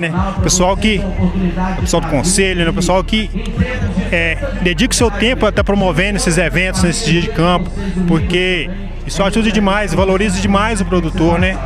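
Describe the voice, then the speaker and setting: Halting coffee farmer, loud music behind. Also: male voice, sits high. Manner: halting, many filler tags. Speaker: award-winning coffee grower interviewed. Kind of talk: casual outdoor interview. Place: outdoor rural festival. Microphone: handheld interview mic.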